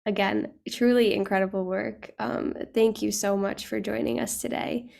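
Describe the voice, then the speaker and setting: Female host for an online panel: low-key and even in pitch, gravelly, formal and courteous. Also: normal speed. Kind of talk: introducing guest speakers at an online session. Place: online video call.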